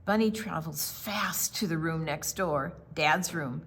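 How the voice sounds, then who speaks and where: A woman reading a picture book aloud to young children, gentle, with dramatic pauses and drawn-out words. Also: low-pitched, slightly rough. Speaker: storytime reader.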